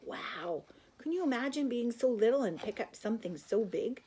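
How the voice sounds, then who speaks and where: An older woman reading a children's storybook aloud in an expressive way, as a grandmother would to young listeners. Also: a little high, normal speed, background noise. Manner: expressive, asks the listeners questions.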